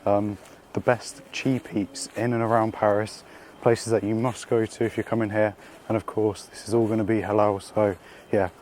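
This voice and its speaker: A man hosting a casual food vlog while out in the city, his voice croaky from being ill, chatty and upbeat with lots of slang and fillers. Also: lowish voice, little pitch movement, normal speed, darkish tone, a little hiss or hum. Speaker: food vlogger. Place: out and about in city streets and a small eatery.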